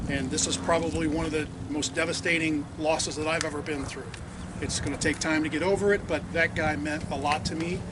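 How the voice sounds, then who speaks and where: Loud guy doing a tribute outside, kinda higher voice, noisy. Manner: loud voice, earnest. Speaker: club member giving a tribute. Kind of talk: speech outdoors. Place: outdoors at a radio club site.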